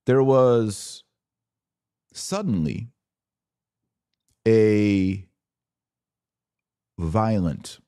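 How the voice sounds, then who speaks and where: A middle-aged man with an American accent giving an informal podcast monologue, telling personal stories emphatically with dramatic pauses on key words. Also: fairly low pitch, lively intonation, mellow, clear, resonant tone. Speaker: podcast host.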